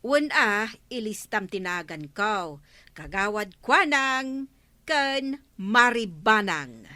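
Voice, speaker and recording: A woman's voice: medium pitch, lively intonation, slow, bright, forceful tone, no room sound. A woman with an Ilocano accent playing a bantering village woman in a comedy radio drama. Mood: teasing.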